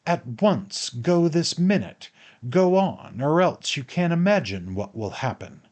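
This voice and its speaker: A man voicing a proud, hysterical man who shouts emphatically and insistently at his servant in a dramatic audiobook. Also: fairly low pitch, animated, a little slow, lo-fi sound. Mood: frantic, commanding. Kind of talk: dramatic audiobook character acting.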